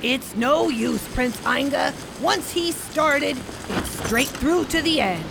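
A woman's voice: high voice, animated, slow, creaky, noisy background, full character voice. A woman voicing a grumpy, proud male goat in his prime in a radio play, crabby and sardonic. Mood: exasperated. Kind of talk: theatrical radio play acting.

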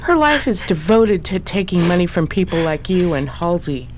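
Woman acting lively, lowish-voiced wry 1920s sleuth. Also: background noise. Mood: knowing. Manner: brisk, knowing, wry. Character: shrewd, self-assured, wry 1920s female amateur sleuth. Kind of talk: old-time radio drama acting.

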